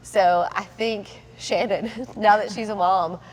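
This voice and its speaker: A woman's voice: mid-range voice, fairly even intonation, slow pace, low-quality recording. A woman, hosting a podcast in chatty, casual conversation and laughing often. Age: 30s. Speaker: podcast host. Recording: faint background noise.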